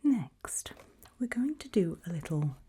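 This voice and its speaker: A woman doing an ASMR roleplay as an examiner, whispering in a breathy, husky voice with a gentle, reassuring, encouraging manner. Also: on the low side, very animated, a little slow, a little hiss or hum.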